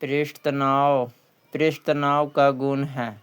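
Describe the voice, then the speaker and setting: Bihari man teaching, very clear voice. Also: mid-range voice, monotone, takes it slowly, muffled recording. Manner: repeats questions, didactic. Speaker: exam coaching teacher. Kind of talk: quiz lesson, reading questions aloud and answering them.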